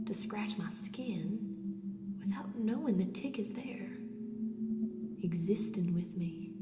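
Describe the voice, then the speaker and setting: A woman performing an intimate radio play monologue as a dreamy, obsessively lovestruck woman, breathy and drifting into whispers. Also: medium pitch, slow, very noisy, close to an everyday voice. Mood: hushed, entranced. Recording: music under the voice. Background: music.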